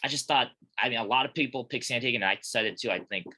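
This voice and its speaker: A young man, born in Korea and raised in Colorado, chatting as a guest from a small gym office in a relaxed, rambling way with many fillers. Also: medium pitch, racing, husky. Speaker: guest, fighter and gym manager. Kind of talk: casual interview chat.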